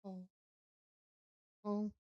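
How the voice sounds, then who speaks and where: East Coast woman hosting a live break stream, unhurried list-reading, dry close mic. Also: dry recording, highs cut off. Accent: East Coast American. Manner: slow, reading out a list in short bursts. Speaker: card break host.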